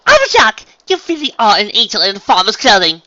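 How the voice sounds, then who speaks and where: A refined female unicorn dressmaker, acted out by a man reading a story, theatrical and effusive. The voice swoops high and bright with excitable flourishes. The recording is slightly dull and lo-fi. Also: quick pace, dry recording, exaggerated voice. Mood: elated, gushing.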